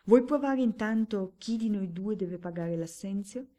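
A woman playing a loud, bitter baron gambler. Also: mid-range voice, a little slow, voice pushed up, lightly acted. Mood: defiant. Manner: loud, scornful, theatrical outbursts. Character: reckless ruined male baron gambler, bitter and boastful. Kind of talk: audiobook character voice.

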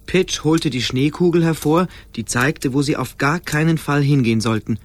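A man's voice: on the high side, normal speed, no echo. A man narrating a radio play as a scripted storyteller.

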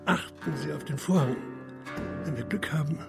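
An actor playing a jovial, lecherous Chinese merchant in a radio drama, genial, florid and full of relish. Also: male voice, on the high side, moderate pace, creaky, loud music behind the voice. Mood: jovial, eager.

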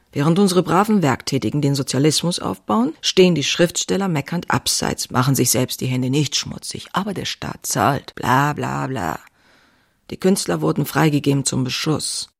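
A woman's voice: extremely low pitch, vocal fry, breathy edge, full character voice. An actress playing an outspoken, passionate woman writer who confides in her diary in an intimate, wry way. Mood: wry, bitter. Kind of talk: dramatized diary reading, scripted narration.

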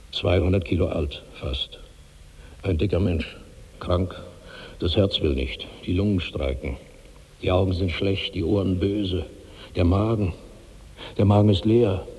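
An actor in a 1960s radio drama playing an obese, sickly, hungry old megalomaniac who speaks theatrically in broken, imperious phrases. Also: male voice, low-pitched, darkish tone, slightly rough, heavily acted. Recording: hissy old radio recording, long reverb.

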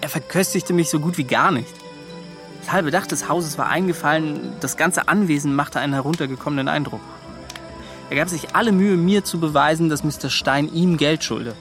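An actor playing an eager, impulsive young adventurer in a German radio play, earnest and excitable. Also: male voice, on the high side, normal speed, soft background music. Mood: indignant recounting.

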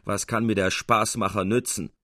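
A man's voice: lowish voice, fairly even intonation, normal speed, pushed and bright, no echo. Storybook hot-headed young suitor, played by a male narrator, ardent, declaring, dry close sound. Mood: dismissive.

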